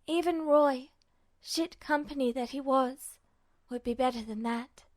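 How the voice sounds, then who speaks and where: High-voiced woman narrating fiction. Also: measured pace, a little mellow, no echo, clean studio sound. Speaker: podfic narrator. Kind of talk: expressive scripted fiction narration.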